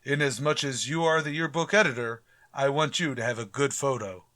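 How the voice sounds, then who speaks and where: An actor playing a pompous, grumbling middle-aged school principal in a radio comedy, formal and dryly sarcastic. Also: male voice, medium pitch, fairly quick, pushed and bright, dry recording, clean studio sound, close to an everyday voice. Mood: vain, formal. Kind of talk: old-time radio comedy acting.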